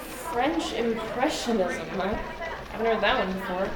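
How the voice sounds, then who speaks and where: A woman's voice: mid-range voice, lively intonation, unhurried, natural voice. A woman playing a brash police partner in an audio drama, teasing in a blunt, sardonic, playful way. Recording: distant echoey room, crowd chatter. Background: crowd chatter.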